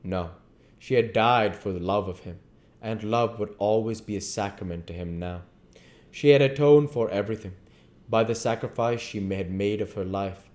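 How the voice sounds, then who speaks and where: A man with a fairly low voice reads literary prose aloud. His narration is deliberate, with occasional stumbles over the written words. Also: darkish tone. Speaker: audiobook reader.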